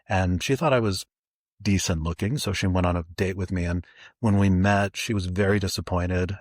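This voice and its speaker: A man in his forties, co-hosting a podcast, talking reflectively and haltingly with many fillers and restarts. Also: sits low, no echo, clean studio sound. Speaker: podcast co-host.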